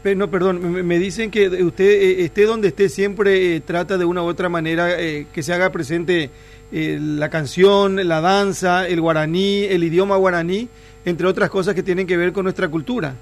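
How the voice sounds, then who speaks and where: A man with a Paraguayan accent hosting a conversational interview, feeling his way through halting questions. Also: sits high, steady tone. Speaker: interviewer, radio host.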